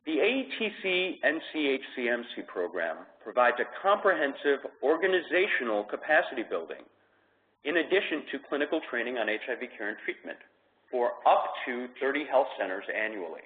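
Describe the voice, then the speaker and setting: A man's voice: medium pitch, very animated, brisk, a little hiss or hum. A man hosting a web conference, reading a scripted presentation over a tinny phone line. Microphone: telephone conference call.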